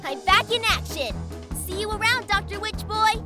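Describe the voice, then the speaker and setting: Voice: extremely high pitch, expressive, normal speed, over music. An actor voicing a small, cheeky talking candle-wax creature in an audio drama. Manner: cheeky, playful. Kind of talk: audio drama character acting.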